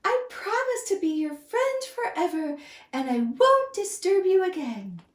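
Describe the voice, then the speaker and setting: A woman voicing a tiny, timid male mouse who squeaks and pleads in a high, trembling voice. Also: sing-song, unhurried, echoey room, recorded from a distance, heavily acted. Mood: grateful.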